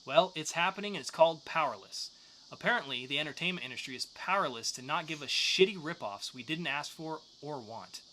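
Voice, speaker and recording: A man hosts a geek news and review podcast with a bright, forward voice. His delivery is casual and joking, and there is faint noise behind him. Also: fairly high pitch, expressive, brisk, poor audio. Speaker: podcast co-host. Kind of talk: news segment and comics review.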